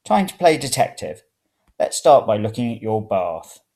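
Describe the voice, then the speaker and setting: A man's voice: on the low side, big pitch swings, moderate pace, warm tone. A man reading the part of a brash, blunt bloke in a story, with exclamatory delivery. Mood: breezy. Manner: blunt, exclamatory, foul-mouthed. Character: brash, sweary young bloke, the narrator's mate.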